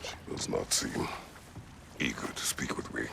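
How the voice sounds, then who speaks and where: An actor playing a grim, stoic older warrior in a video game, with a deep gravelly voice and terse, solemn speech. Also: male voice, measured pace, bright, forceful tone, a little hiss or hum. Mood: grave, guarded. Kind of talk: dramatic video game acting.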